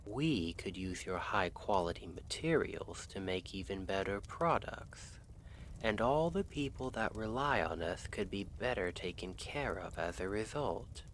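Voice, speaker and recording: Man playing a slow, low-voiced lovestruck assassin. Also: animated, a bit bright, slight background noise. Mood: earnest, cautious. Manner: measured, formal, hesitant phrasing. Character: earnest, lovestruck male assassin from a noble house. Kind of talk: ASMR roleplay, scripted.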